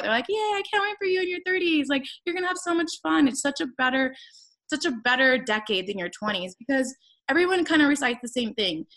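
A woman's voice: a little high, fairly bright tone. A woman around thirty, a coach and podcast host, talking earnestly in a casual conversation, rambling with many fillers and restarts. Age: 30s.

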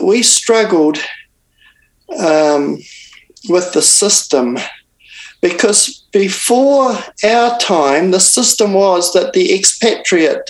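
An older man, a Bible translator, speaking as an interview guest and recounting events in an unhurried way with frequent restarts and pauses. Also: high-pitched, poor audio. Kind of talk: reflective interview storytelling.

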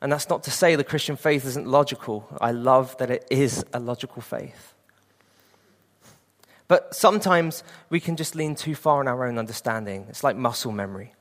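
A man's voice: mid-range voice, normal speed, in a large hall. A man in his late twenties preaching a sermon and sharing an earnest personal testimony. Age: 20s.

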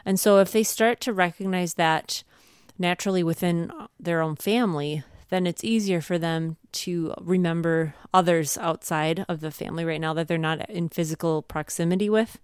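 Female podcast co-host, smooth lowish voice, encouraging, conversational advice in a close dry recording. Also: fairly even intonation, normal speed, dry recording. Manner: encouraging, anecdotal. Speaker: podcast co-host, homeschooling mother.

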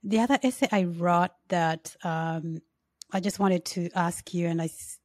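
A woman who hosts the interview, asking questions in a halting way with frequent restarts and self-corrections. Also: lowish voice, a little mellow, no echo. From Uganda. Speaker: interview host. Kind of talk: conversational interview.

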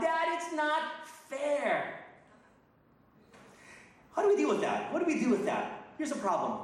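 A man's voice: high voice, slight background noise. A middle-aged man, from the Philippines and raised in Texas, preaching a sermon as a guest pastor in a casual way with many fillers and restarts. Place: church sanctuary. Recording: distant mic in an echoey hall.

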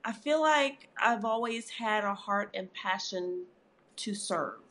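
A woman's voice: medium pitch, lively intonation, takes it slowly, a bit bright. A middle-aged woman therapist and author, guest in an interview, talking in a reflective, conversational way with frequent "you know" fillers.

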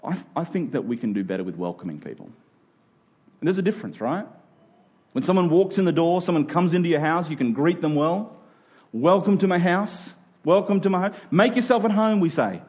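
A man's voice: on the high side, animated, normal speed, darkish tone, breathy edge, highs cut off. A man with an Australian accent preaching as a pastor, earnest and conversational, often posing questions to his listeners. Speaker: church pastor. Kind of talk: sermon.

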